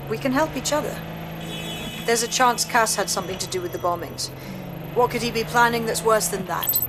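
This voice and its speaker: An actress playing a shrewd, questioning resistance operative in a video game, with a dry, direct manner. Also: female voice, fairly high pitch, animated, brisk, background noise, natural voice. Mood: persuasive. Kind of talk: video game cutscene acting.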